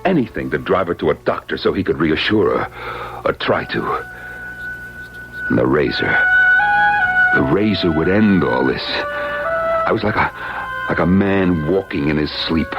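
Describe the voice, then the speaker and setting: A henpecked, despairing middle-aged man in a radio mystery. His voice sits deep, halting under pressure. The recording is muffled, with music loud behind it. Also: expressive, vocal fry, full character voice. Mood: agitated, bitter. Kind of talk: 1970s radio drama acting, first-person narration.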